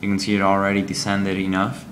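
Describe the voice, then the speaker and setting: Deep-voiced guy doing a game review walkthrough, pauses and ums a lot. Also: completely flat delivery, noisy background. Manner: halting, explanatory, frequent ums. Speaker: app reviewer.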